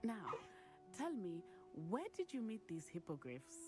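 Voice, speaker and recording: A woman's voice: mid-range voice, wildly swooping pitch, a little slow, over music. A woman playing an earnest, determined young character in a scripted video game. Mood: businesslike.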